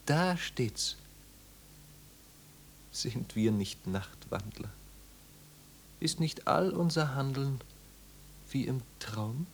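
An actor playing a tormented, gentle but desperate young man sinking into madness, halting and feverish, often slipping into whispers, in 1950s radio drama style. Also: mid-range voice, lively intonation. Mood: feverish, agitated. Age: young adult. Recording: noisy, humming 1950s radio recording.

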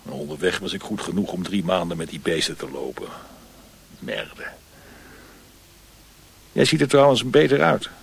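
A man's voice: low-pitched, animated, moderate pace, gravelly, pauses often, breathy edge, exaggerated voice. An actor playing a cynical, world-weary disgraced officer with an opium habit in a radio drama, grumbling as he talks. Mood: sardonic, bitter.

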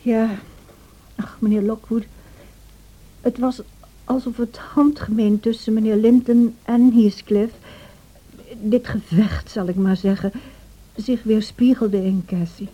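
A devoted housekeeper narrating in a radio play. Her voice is very soft-edged and dark, with a measured, confiding manner. The recording is dull and dated. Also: on the high side, fairly even intonation. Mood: sombre storytelling. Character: devoted, troubled housekeeper recounting a tragic story.

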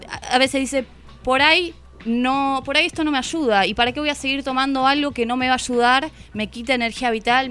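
A woman's voice: fairly high pitch, fairly bright tone. Argentine woman chatting about a book, kinda reflective, bit of music behind her. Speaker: guest reviewing a book. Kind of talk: unscripted literary talk.

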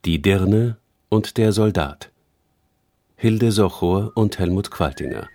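A man's voice: sits low, slow, gravelly, warm tone. A man announcing scenes and cast on radio in a scripted style.

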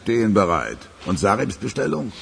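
Gravelly low-voiced henchman. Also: sing-song, moderate pace. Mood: gruff, surly. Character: gruff male criminal henchman. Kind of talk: radio drama acting. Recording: echoing hall, faint noise.

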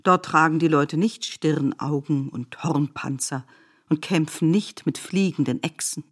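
Radio play narrator: knowing guide into myth, slightly low, husky, grave, declamatory delivery. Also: female voice, measured pace. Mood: knowing, reassuring. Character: grave, knowing female narrator who beckons listeners into myth. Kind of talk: literary radio drama narration.

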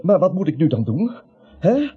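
A man's voice: high voice, sing-song, moderate pace, slightly rough, soft background music, exaggerated voice. An actor playing a fussy, nervous, reluctant little man in a radio play, protesting in a querulous, flustered manner. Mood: anxious, grumbling. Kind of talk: Dutch radio play acting. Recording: muffled, dull old radio-play recording.

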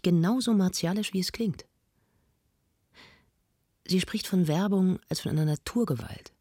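An actor playing a measured, analytical arts presenter narrating a scripted radio feature with precision. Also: medium pitch, slightly rough, no echo, studio quality. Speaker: radio host.

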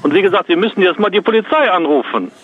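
A man's voice: sits high, animated, quick pace, slight background noise. A man working in a shop talks spontaneously on the telephone, bluntly explaining and repeating himself over a narrow, tinny line. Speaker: shop worker taking a customer's phone call. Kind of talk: spontaneous phone conversation.

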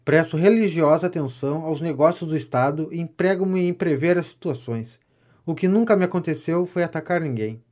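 Man playing vain gentleman pamphleteer, fairly high voice, Brazilian accent, pompous declamation, flattering, period comedy audio drama. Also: moderate pace, natural voice. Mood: self-important. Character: vain, self-important gentleman pamphleteer, pompous political know-it-all. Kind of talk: audio drama of a 19th-century stage comedy.

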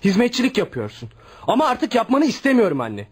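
Teenage son, radio drama, high youthful pitch, rough breathy edge, earnest, protesting, downcast, protective. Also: male voice, huge pitch swings, a little fast, full character voice. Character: troubled, protective sixteen-year-old son of a poor widow. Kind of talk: scripted radio drama acting.

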